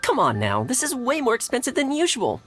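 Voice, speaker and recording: A woman's voice: a little high, wildly swooping pitch, a little fast, soft background music. A woman voicing a firm customer haggling over prices at a festival stall in game dialogue.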